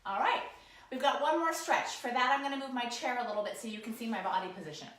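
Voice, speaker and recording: A woman with a cold-roughened voice, casually talking viewers through stretches at a work desk while she demonstrates them. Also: a little high, fast, fairly bright tone, heavy reverb, rough recording. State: hoarse from a cold. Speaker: posture and ergonomics instructor. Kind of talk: guided stretching lesson, talking to camera. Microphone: camera mic, sometimes turned away.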